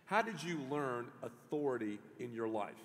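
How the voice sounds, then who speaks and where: A middle-aged man, a pastor, interviewing a guest on a church stage in an animated way, with many restarts. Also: medium pitch, normal speed. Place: large church stage before an audience. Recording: long hall reverb.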